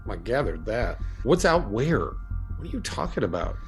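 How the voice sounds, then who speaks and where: A man's voice: medium pitch, very animated, moderate pace, soft background music. A man playing a weary, skeptical investigator with a casual, dry way of talking in an audio drama. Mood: groggy, irritated.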